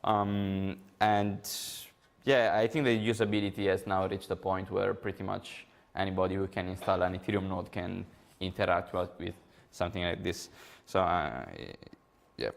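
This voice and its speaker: Low gravelly Italian-accented man presenting. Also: steady tone, normal speed. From Italy. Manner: hesitant, many ums and uhs, explanatory. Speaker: conference speaker. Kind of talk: technical conference talk.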